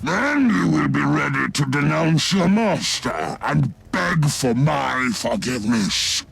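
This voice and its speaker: An actor playing a sinister mad scientist villain in a video game, pompous and mocking, with a gravelly voice and drawn-out theatrical delivery. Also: male voice, high-pitched, heavy background noise, exaggerated voice. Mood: menacing.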